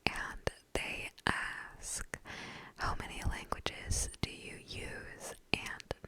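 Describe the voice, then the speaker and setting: A young woman from the southern United States, softly whispering through a casual ASMR question-and-answer as the channel host. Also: low-quality recording. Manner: soft unvoiced whisper, slow and gentle; casual, uses "like" and "you know".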